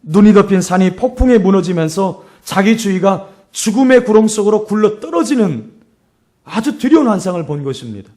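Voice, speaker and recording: A middle-aged man with a hoarse voice preaching a sermon as a pastor, telling stories and exhorting with emphatic repetition. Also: high voice, sing-song, brisk, a little mellow.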